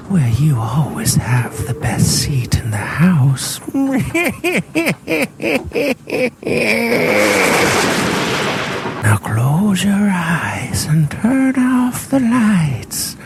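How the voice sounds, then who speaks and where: A man playing a sinister ghoulish horror host, with drawn-out theatrical whispering and cackling, introducing spooky tales. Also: fairly high pitch, big pitch swings, over loud music, heavily acted. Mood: gleefully sinister.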